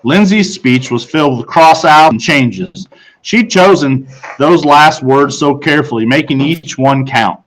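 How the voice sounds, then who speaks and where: Higher-voiced man dramatically narrating a children's story. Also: low-quality recording. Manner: dramatic, with deliberate pauses. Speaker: audiobook narrator.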